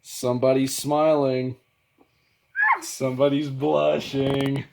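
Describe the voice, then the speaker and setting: A man's voice: mid-range voice, level tone, slow pace, vocal fry, background noise. A man presenting his hobby collection in a casual show-and-tell style, talking from home.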